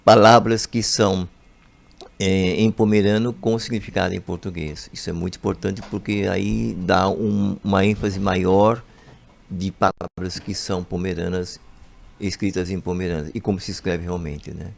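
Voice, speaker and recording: A man's voice: low-pitched, fairly even intonation, moderate pace, creaky. A Brazilian man, an author, answering radio interview questions in an explanatory way, often stressing that things are very important. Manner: explanatory, repeats emphatic phrases. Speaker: author and guest. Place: radio studio.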